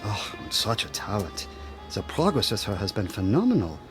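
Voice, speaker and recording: Earnest European scientist, lively intonation. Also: male voice, fairly low pitch. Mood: troubled, earnest. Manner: formal, halting phrasing. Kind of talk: radio drama acting. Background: music.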